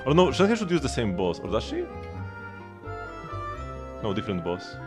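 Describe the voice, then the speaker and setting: A man casually commentating on a game livestream, thinking aloud haltingly and repeating words as he goes. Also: medium pitch, huge pitch swings, over music. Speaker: live streamer.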